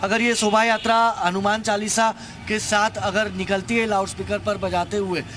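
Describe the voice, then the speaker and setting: A man's voice: high voice, flat delivery, moderate pace, noisy background. A man reporting live for television news from a street outdoors. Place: outdoor street outside a temple.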